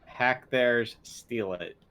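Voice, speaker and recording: A man's voice: medium pitch, extremely slow, bright, forceful tone, smooth. A man talking casually as a player in a tabletop role-playing game.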